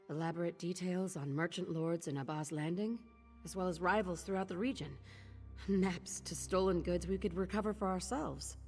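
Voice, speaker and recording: A woman's voice: on the low side, a little mellow, natural voice. An actress playing a weary, guilt-ridden woman who leads a thieves' guild in a video game, speaking in an earnest, terse, commanding way. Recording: long reverb, music behind.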